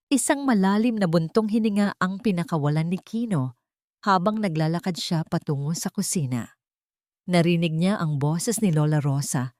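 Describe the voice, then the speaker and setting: A woman's voice: sits low, dark tone, dry recording, studio quality. A woman narrating a scripted dramatic family story as a storyteller.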